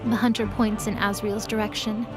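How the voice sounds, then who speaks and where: A woman's voice: medium pitch, flat delivery, normal speed, over music. A woman giving scripted, dramatic narration as the narrator of an audio drama.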